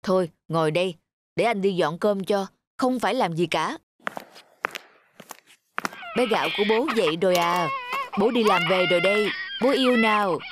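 A man voicing a devoted, gentle young husband and new father in a cartoon, with a tender, solicitous manner. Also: high-pitched, moderate pace, long pauses, very noisy, close to an everyday voice. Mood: tender, affectionate. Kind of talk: cartoon voice acting.

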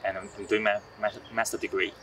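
A man's voice: lowish voice, little pitch movement, fast talker, pushed and bright. A man in his twenties from China, a master's student, talking earnestly with some restarts while sitting outdoors on a bench. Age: 20s. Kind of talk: documentary interview. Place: outdoors on a bench among trees. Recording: distant mic, light outdoor noise.

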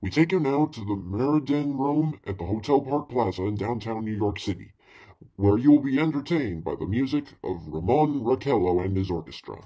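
A man playing a formal 1930s radio news announcer in a radio play, reading bulletins in a husky voice. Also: mid-range voice, lively intonation, moderate pace, warm tone, low-quality recording. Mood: measured, formal.

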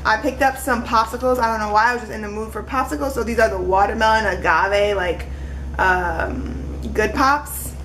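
A woman's voice: fairly high pitch, steady tone, moderate pace, a bit bright, slight background noise, poor audio. Chatty young woman showing groceries. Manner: chatty, holding up items one by one. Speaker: vlogger. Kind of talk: casual grocery haul vlog. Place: home kitchen, indoors.

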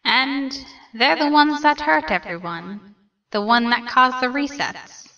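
A woman's voice: high-pitched, takes it slowly, sharp tone, far from the mic, lo-fi sound, heavily acted. A woman voicing a cheerful, naive skeleton brother in a comic dub, grandiose, earnest and theatrical. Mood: sympathetic. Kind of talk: comic dub character acting.

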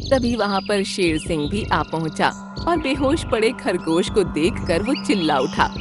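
Woman narrator for a kids' cartoon, very lively pitch, music under. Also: unhurried. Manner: lively, expressive. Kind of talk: storybook narration for children.

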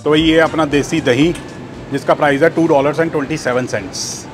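A man's voice: a little high, fairly even intonation, a little fast, soft background music. A man in his thirties chatting casually to camera while shopping in a large supermarket, reading out prices, filmed on a handheld phone. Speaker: vlogger. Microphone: phone held at arm's length.

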